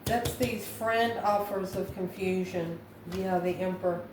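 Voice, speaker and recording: A woman giving an improvised spiritual tarot reading to viewers, musing in a halting way and posing questions to herself. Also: lowish voice, steady tone. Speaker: tarot card reader, channel host. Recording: echoey room, distant mic, faint noise.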